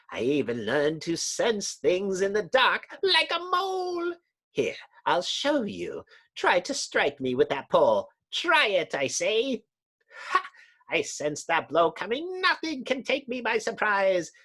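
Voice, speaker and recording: A crazed subterranean villain from a comic book. The voice is pitched high and swings wildly up and down, grandiose and taunting as it boasts of power. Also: male voice, big pitch swings, measured pace, fairly bright tone, full character voice. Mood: boastful. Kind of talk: dramatic comic book reading.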